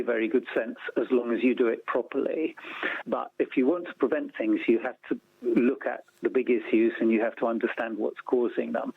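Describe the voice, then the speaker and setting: Heard down a thin, narrow phone line, a man answers as an interview guest. His voice is husky and creaky, and he lays out his points in a measured, orderly way. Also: medium pitch, dry recording. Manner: measured, structured, enumerating points. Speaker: interview guest, report author and organisation founder. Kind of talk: explanatory radio interview. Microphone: telephone.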